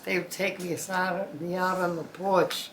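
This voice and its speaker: A woman in her nineties with a gravelly throat, telling old family stories in a rambling, halting way full of uh's. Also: on the low side, flat delivery, roomy sound, background noise. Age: 90s. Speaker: birthday celebrant. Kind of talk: casual family storytelling.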